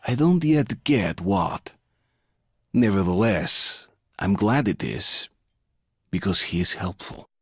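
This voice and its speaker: Deep, brooding soldier narrator. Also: male voice, lively intonation, husky, dry recording, full character voice. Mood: reflective. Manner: measured, brooding. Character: weary, reflective male soldier narrating his journey. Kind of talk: scripted video game narration.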